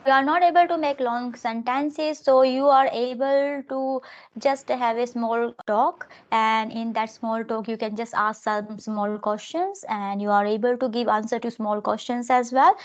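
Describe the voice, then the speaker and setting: High-pitched woman teacher, muffled audio. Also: fairly even intonation, measured pace. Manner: instructive, repeats key phrases for emphasis. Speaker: English teacher, primary school teacher. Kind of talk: conversational spoken-English coaching.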